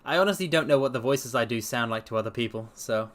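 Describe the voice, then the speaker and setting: A man's voice: medium pitch, quick pace, fairly bright tone. A man streaming a dramatic reading, narrating a detective story and chatting casually with his audience.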